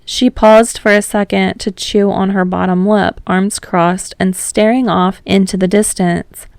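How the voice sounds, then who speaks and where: A woman's voice: medium pitch, steady tone, unhurried, vocal fry, no echo. A woman reading scripted fiction aloud as a narrator.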